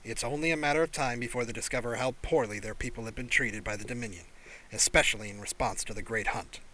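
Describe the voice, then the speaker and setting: Man voicing a shrewd, calm alien clan father. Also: medium pitch, close to an everyday voice, rough recording. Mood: urgent, calculating. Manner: calm, affable, measured.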